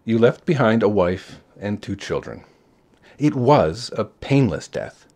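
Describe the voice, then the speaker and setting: A man narrating a short story in a measured, expressive storytelling style. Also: fairly low pitch.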